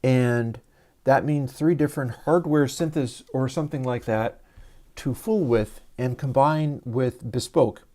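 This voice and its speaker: A man hosting a casual livestream and explaining his audio gear step by step in a soft, soothing voice, talking into a lavalier mic. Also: mid-range voice, unhurried. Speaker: livestream host and audio developer.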